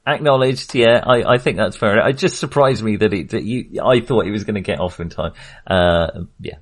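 Lowish, husky man chatting casually. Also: moderate pace. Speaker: film podcast co-host. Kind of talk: casual, conversational film chat.

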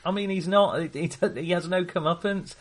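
A man's voice: sits high, steady tone, a little fast. Man chatting about films. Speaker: film podcast co-host. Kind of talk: casual film discussion.

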